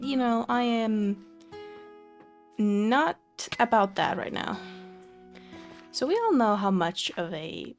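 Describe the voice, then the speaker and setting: Young woman for relaxed game commentary, slow and chatty, light guitar underneath. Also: mid-range voice. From Finland. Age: young adult. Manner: relaxed, chatty, frequent fillers and soft laughs. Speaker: Minecraft let's play creator. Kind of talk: casual gameplay commentary.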